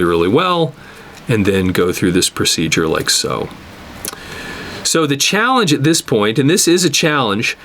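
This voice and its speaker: A man giving an unscripted hands-on repair tutorial, explaining step by step in a halting way and repeating words as he works. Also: fairly low pitch, a bit bright, slight background noise. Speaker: instructor demonstrating cassette tape repair.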